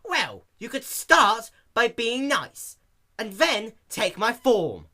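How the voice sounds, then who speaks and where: Man playing a brash, loud, high-pitched pony mare. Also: huge pitch swings, takes it slowly, full character voice. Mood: annoyed. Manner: exasperated, loud, emphatic. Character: brash, impatient, fed-up young female pegasus pony.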